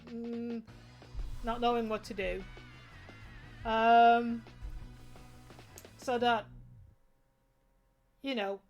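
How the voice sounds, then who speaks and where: Chatty Dutch-accented woman streaming. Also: fairly high pitch. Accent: Dutch, with some British English. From Netherlands. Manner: chatty and rambling, many restarts, greets chat. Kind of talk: casual livestream chat while sewing.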